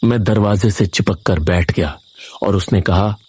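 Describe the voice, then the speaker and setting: A man's voice: sits low, a little slow, darkish tone, breathy edge. A man narrating a scripted first-person horror story in a dramatic, suspenseful manner.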